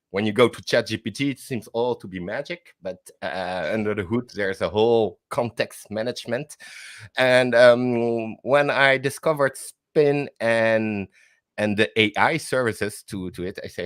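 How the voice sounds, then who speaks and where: A man's voice: on the low side, a bit bright, dry recording, clean studio sound. A man with a French accent, a developer advocate talking casually as a guest on a live stream, full of fillers and restarts. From Netherlands and France. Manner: hesitant, many fillers and repeated words, laughs easily.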